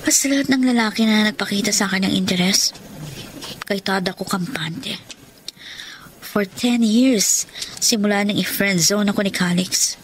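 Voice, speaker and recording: A woman's voice: mid-range voice, normal speed, pauses often, a little hiss or hum, lightly acted, rough recording. An actress as a sincere Filipino career woman in her thirties. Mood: reflective. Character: devoted 33-year-old daughter, guarded romantic, career woman. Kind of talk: Tagalog radio drama acting and first-person narration.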